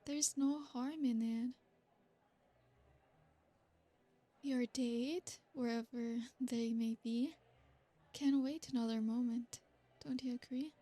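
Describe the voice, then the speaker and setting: Woman voicing a soft-spoken ancient vampire lady. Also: a little high. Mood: coaxing. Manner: soft, formal and flattering. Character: ancient aristocratic female vampire, seductive and possessive. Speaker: ASMR roleplay performer. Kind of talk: ASMR roleplay.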